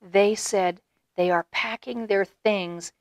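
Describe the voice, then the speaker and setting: A woman voicing a small excited female mouse in a storybook reading. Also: medium pitch, slow, no room sound. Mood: earnest.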